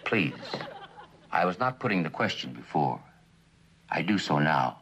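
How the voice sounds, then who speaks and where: A stern, older trial judge in a vintage television drama. The voice is very deep, speaking with measured, formal authority. A faint hiss lies under it. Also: male voice, sing-song, fairly bright tone, full character voice. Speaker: actor playing a judge. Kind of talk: formal 1960s courtroom drama acting.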